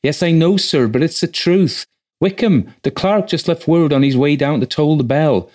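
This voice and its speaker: Country housekeeper, played by a male reader: agitated, talkative, rustic speech, raised pitch, storybook ghost tale. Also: moderate pace, a little mellow, natural voice. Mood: agitated, breathless news. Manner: flustered, rustic dialect.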